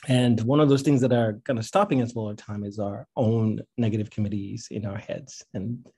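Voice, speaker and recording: Husky, bright-voiced man giving an informal talk. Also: on the low side, steady tone, moderate pace. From Tanzania. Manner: conversational, encouraging, repeats phrases for emphasis. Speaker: speaker, founder of a science advocacy institute. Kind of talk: informal workshop talk with slides. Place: indoor room with bookshelves, on a video call.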